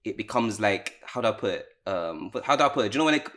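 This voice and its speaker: A young British man hosts a casual podcast with a low voice and a quick pace. He talks in relaxed slang, tagging on "innit" and "do you know what I mean". The tone stays easy and conversational. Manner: laid-back slangy talk, says "innit". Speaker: podcast host.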